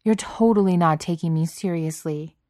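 A woman's voice: on the low side, moderate pace, darkish tone, no room sound, clean studio sound, lightly acted. A woman voicing a huge but timid, apologetic young male beast in a story, hesitant and stammering, with teenage slang. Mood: miserable, apologetic. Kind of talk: audiobook character voice.

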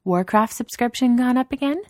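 A woman's voice: on the high side, normal speed, darkish tone, no room sound, pitched above the performer's own voice, lightly acted. Brash female boss, put on by a woman narrator, teasing, theatrical, raised voice, close dry sound, for storytelling. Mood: gleeful teasing. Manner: teasing, mock-dramatic.

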